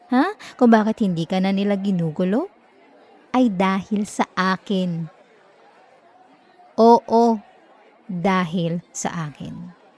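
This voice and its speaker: A woman's voice: medium pitch, a little mellow. A Filipino woman playing a possessive, obsessive young student bully in a roleplay audio drama, condescending and taunting, with dramatic swings in delivery. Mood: exasperated, condescending. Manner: condescending, taunting, dramatic swings from slow murmurs to exasperated bursts. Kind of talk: roleplay ASMR acting, direct address to listener.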